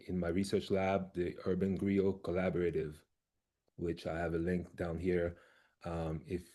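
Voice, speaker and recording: A man from Cameroon who directs a research lab, presenting on a video call and speaking haltingly, with many fillers and restarts. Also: low-pitched, mellow.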